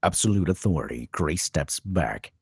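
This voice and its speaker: A man's voice: low-pitched, expressive, normal speed, breathy edge, no echo, studio quality. A man narrating stage directions dramatically at a screenplay table read.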